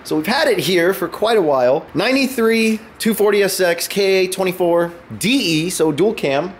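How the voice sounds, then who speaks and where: A man in his twenties, sick and sounding a bit deeper than usual, chatting upbeat to a handheld camera in his home garage. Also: animated, moderate pace, slight background noise. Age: 20s. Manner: chatty and upbeat, joking with a friend. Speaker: car vlogger. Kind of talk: casual vlog talk to camera. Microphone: handheld camera close to face.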